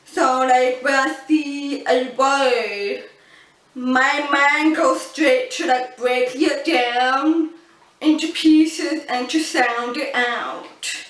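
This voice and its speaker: A woman in her twenties with a deaf accent, chatting slowly to camera and stressing her sounds strongly with an open mouth. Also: sits high, steady tone, fairly bright tone, recorded from a distance. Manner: slow, earnest, with frequent ums. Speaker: vlogger. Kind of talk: casual storytelling to camera. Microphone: built-in camera mic. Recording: roomy, off-mic camera audio.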